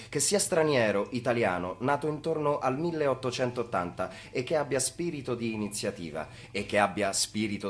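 A theatrical narrator of an epic life story for radio drama. The delivery is incantatory, with phrases hammered again and again. The pitch is very animated, swinging widely from line to line. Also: male voice. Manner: incantatory, repeating phrases for emphasis.

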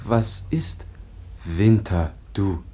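Halting, childlike young foundling. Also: male voice, lowish voice, mellow. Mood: halting, innocent. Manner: halting, broken toddler-like short phrases. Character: childlike, timid young foundling raised in darkness. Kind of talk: German radio drama acting.

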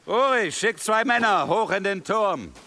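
An actor playing a mocking, menacing ringleader in a radio play, with a sarcastic, sneering delivery. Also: male voice, high-pitched, animated, measured pace, bright, forceful tone, slight background noise, heavily acted. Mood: commanding, sarcastic. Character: mocking, menacing male ringleader, cruelly sarcastic toward superiors. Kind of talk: radio drama acting.

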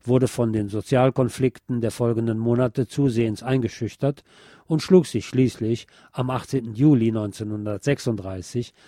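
A man narrates from a history book for a radio programme. His voice is dark and relaxed, with a medium pitch, and very dry and close. The delivery is measured, factual and formal, as a scripted reading. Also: dry recording.

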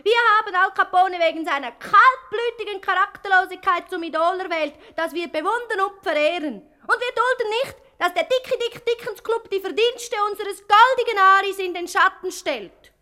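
An extremely high, forceful girlish voice. The figure is a prim young fan club member in a satirical radio play, speaking with solemn fervour and ready indignation. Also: normal speed, hardly any pauses. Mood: indignant, fervent. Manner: solemn, speechifying, fervent.

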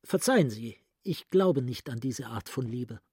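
A man's voice: medium pitch, expressive, measured pace, darkish tone, breathy edge, dry recording, studio quality. A male actor playing a cultured, introspective psychiatrist in a literary radio play. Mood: self-assured. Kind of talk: literary radio drama monologue.

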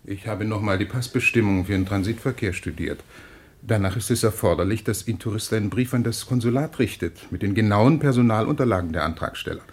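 An actor playing a polite but unbending consul in a radio drama, a husky man with an officious, hesitant manner. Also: fairly low pitch, a little fast, darkish tone. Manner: officious, hesitant, courteously stubborn. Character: polite, unbending male consul, flustered bureaucrat. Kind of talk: German public radio drama acting.

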